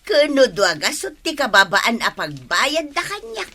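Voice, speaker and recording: A woman's voice: sits high, huge pitch swings, a little slow, pushed and bright, exaggerated voice. A woman playing a money-minded village fortune teller in Ilocano radio comedy, bossy and exclamatory with a Filipino accent. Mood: brusque, haggling. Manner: exclamatory, haggling.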